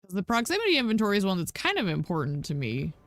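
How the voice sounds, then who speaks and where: Croaky woman streamer thinking aloud. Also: mid-range voice, wildly swooping pitch, rapid-fire, dry recording, studio quality. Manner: thinking aloud, many ums, chatty asides to herself. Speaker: game streamer. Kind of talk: casual gameplay commentary.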